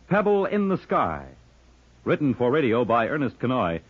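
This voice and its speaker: A man's voice: fairly high pitch, slightly rough. A man reading a scripted promotional announcement as a polished, dramatic 1950s radio network announcer. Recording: muffled old-time radio recording.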